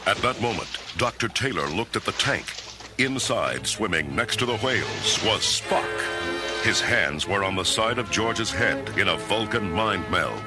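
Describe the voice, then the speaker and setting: A man's voice: animated, unhurried. A man narrating a children's adventure storybook from a script as its narrator. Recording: narration over very loud music, rumbling effects.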